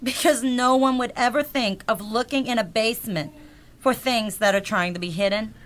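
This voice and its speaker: A woman's voice: on the high side, normal speed, close to an everyday voice. A woman playing a tough, sarcastic warrior in a gang of schemers, with dry delivery in a comedic radio drama.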